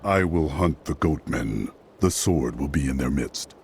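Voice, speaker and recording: A man's voice: a bass voice, lively intonation, unhurried, noisy background. A man voicing a stern, resolute hunter in a video game. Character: stern male hunter on a quest.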